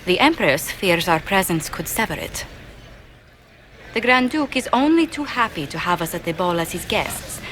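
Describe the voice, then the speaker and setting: An actress playing a composed political adviser giving a formal, measured briefing in a video game. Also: female voice, on the low side, sing-song, fairly bright tone, a little hiss or hum. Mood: composed, grave.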